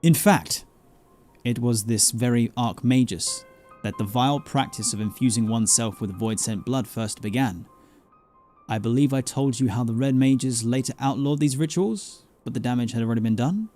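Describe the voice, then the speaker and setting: A man voicing a grave, scholarly mentor from a fantasy game, telling dark lore in a formal, deliberate storytelling manner. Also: mid-range voice, lightly acted. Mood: grave, dramatic. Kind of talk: dramatic reading of fantasy game dialogue. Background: game music.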